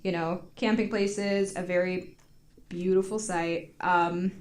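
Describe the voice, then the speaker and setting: A woman's voice: lowish voice, steady tone, slow pace. An American woman telling a story casually on a podcast, informal and giggly, peppering her talk with "like". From United States.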